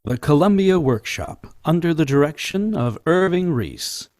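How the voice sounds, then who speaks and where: A man playing a 1930s radio announcer, introducing a drama in a grand, measured, theatrical way. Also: on the high side, lively intonation, darkish tone. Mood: grand, portentous.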